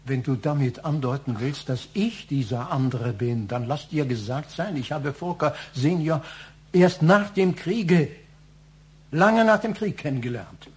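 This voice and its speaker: Proud elderly father-in-law for radio crime drama: formal, emphatic, stern, voice on the higher side. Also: animated, unhurried. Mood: indignant. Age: older.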